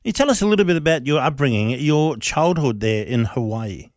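A man's voice: mid-range voice, animated, fairly quick, no echo, clean studio sound. A man hosting a radio interview in a chatty, friendly way. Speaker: radio show producer and stand-in host.

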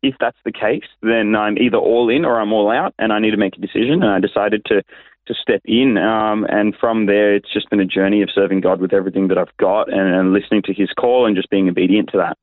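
A man's voice: fairly low pitch, fairly even intonation, a little fast, dry recording. Australian man on a tinny phone-like line. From Sydney, Australia. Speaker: interview guest, youth pastor. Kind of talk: casual conversational storytelling.

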